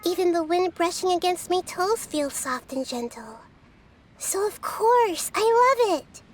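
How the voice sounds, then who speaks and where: Game casting: a tiny wise girl spirit, very high childlike voice, gentle, curious and measured, over background music. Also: animated, a little hiss or hum. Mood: content, gentle, then delighted. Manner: soft-spoken, measured, whimsical, gently teasing. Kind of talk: anime video game character voice lines.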